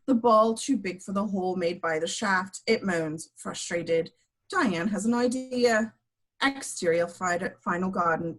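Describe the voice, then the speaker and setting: A woman's voice: medium pitch, poor audio. A woman reading stage directions as scripted narration at an amateur table read.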